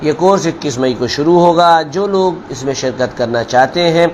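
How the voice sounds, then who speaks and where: High-pitched male preacher, noisy recording. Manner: deliberate, admonishing, repeats phrases for emphasis. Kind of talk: sermon with storytelling parable.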